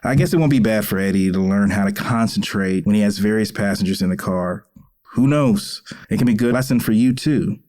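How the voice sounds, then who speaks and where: A man playing a caring, gently wry middle-aged father, folksy and earnest, in an audio drama. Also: on the low side, a little fast, lightly acted. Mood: gentle, firm. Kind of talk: audio drama acting, conversational.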